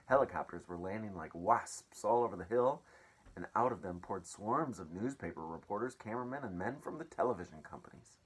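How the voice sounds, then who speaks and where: A man reading a children's story aloud as an expressive storyteller. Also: on the low side, fairly quick, husky, rough recording.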